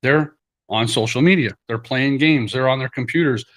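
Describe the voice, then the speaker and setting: A man's voice: medium pitch, moderate pace, fairly bright tone. Plain-spoken man hosting a stream. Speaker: live stream host. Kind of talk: casual live-stream chat.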